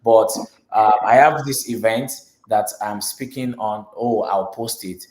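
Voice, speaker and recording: A man's voice: on the low side, unhurried, darkish tone. A man with a Nigerian accent, Yoruba his first language, answering interview questions earnestly, restarting phrases and filling with "you know". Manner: earnest, repeats words, many "you know" fillers. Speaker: guest speaker. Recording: roomy echo.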